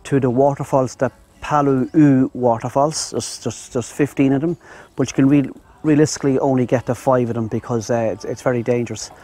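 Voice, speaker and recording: A man's voice: medium pitch, steady tone, fairly quick, warm tone. An Irish man rambling casually to camera as a travel vlogger, outdoors on a lavalier mic. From Ireland. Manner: rambling, chatty, addresses viewers as "my friends". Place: outdoors in Thai countryside.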